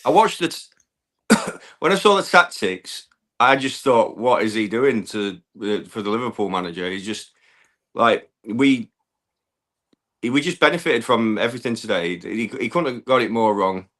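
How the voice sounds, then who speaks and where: A man with a hoarse voice, chatting casually as a fan calling in to a football show. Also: mid-range voice, expressive, moderate pace, long pauses, fairly bright tone. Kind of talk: casual chat.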